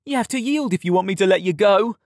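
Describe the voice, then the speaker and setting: Guy reading as cocky bully stable lad, mocking, bouncy pitch, audiobook style. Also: big pitch swings, normal speed, a little mellow, husky, no echo, clean studio sound. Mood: menacing. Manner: taunting, sneering. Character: mocking, insolent stable boy, bullying and gleeful.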